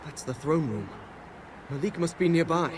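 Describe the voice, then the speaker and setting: A man's voice: fairly high pitch, lively intonation, normal speed, close to an everyday voice. Wry young game prince played by a man, noisy surroundings. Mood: wary, determined. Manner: dry, sarcastic muttering to himself. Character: young adventurous prince, wry and self-mocking. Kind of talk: video game cutscene voice acting.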